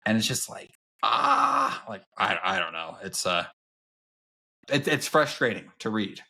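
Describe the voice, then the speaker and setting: A man's voice: wildly swooping pitch, a little slow, vocal fry, sharp tone, breathy edge. A man co-hosting a book podcast, talking casually with lots of fillers and acting out quotes. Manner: filler-heavy, lots of "like", acts out quotes in a high voice. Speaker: podcast co-host. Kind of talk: casual chat about a book.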